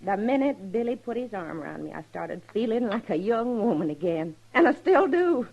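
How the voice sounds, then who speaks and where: A woman's voice: mid-range voice, sing-song, normal speed, gravelly, darkish tone, dull audio. An actress playing a chatty, hospitable old ranch widow and doting mother in a Western radio drama, with a rural Western American accent. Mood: proud, happy. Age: older. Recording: noisy old radio recording.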